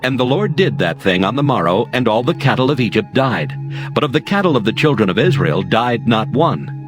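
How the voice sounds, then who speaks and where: A man narrating dramatized scripture in a measured, solemn storytelling manner. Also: medium pitch, sing-song. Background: music.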